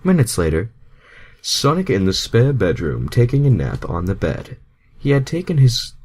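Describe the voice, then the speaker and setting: A man's voice: sits low, vocal fry, darkish tone, slightly rough. A man narrating fan fiction casually as an amateur reader, stumbling over words and dropping sweary asides.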